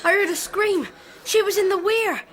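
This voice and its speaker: A woman's voice: very high voice, moderate pace, fairly bright tone. A woman playing a grave, polite country boy in a radio drama, with simple rustic wording. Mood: urgent, grave.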